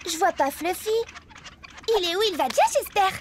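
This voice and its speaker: A woman's voice: very high voice, lively intonation, normal speed, a little hiss or hum. A woman voicing a sweet, sunny and emotional little girl blob in a cartoon dub, gushing and dramatic. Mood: concerned. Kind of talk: animated cartoon dub for teens.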